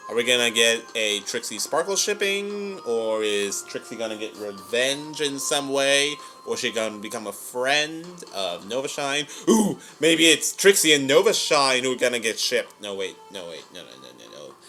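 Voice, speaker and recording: A man's voice: medium pitch, animated, normal speed, few pauses, sharp tone. A man giving casual, playful commentary as a reaction host, chatty and given to exclaiming. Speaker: reaction host and amateur voice actor. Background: music from the audio drama.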